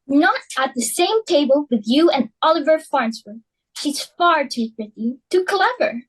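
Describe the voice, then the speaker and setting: A high, swooping voice of a young woman acting as a fussy society hostess who frets over her dinner party. She talks in a flustered, theatrical way, her pitch leaping up and down as she fusses. It sounds like a stage reading. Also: expressive, measured pace, low-quality recording. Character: fussy, status-hungry society hostess and mother. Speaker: student actor. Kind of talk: amateur dramatic reading.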